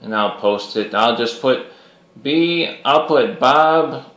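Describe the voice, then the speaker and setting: A man chatting casually as a CB radio operator, easygoing and a little halting, repeating himself. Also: mid-range voice, expressive, a bit bright. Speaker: CB radio operator making a video. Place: radio station set-up, recorded on camera. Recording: roomy, echoing home radio station.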